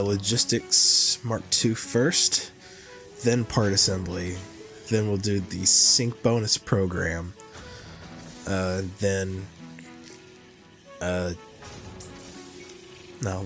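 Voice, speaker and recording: A man's voice: on the low side, fairly bright tone. A man hosting a casual gameplay commentary, sounding nasal from a cold and thinking aloud with many fillers. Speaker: gaming channel host.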